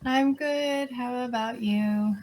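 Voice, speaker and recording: A slow, unhurried woman with a slightly raised voice, talking on a video call. She chats casually as an English tutor and explains sounds patiently, with easy laughter. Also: a little high, darkish tone, poor audio. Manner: chatty, explains pronunciation patiently, laughs often. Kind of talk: casual conversation class. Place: standing at home during a video call.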